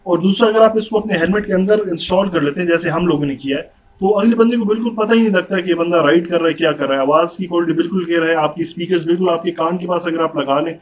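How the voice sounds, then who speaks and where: High-pitched Pakistani man, casual review chat. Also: fast talker. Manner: mixes English words into speech. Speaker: motorcycle vlogger reviewing gear. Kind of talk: casual conversational product review. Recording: dull audio with background noise.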